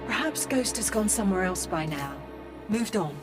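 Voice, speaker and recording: An actress voicing a calm, polite female AI assistant with a formal, courteous manner in video game dialogue. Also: medium pitch, normal speed, close to an everyday voice. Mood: measured. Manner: formal, courteous, addresses him as sir. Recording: with game music behind.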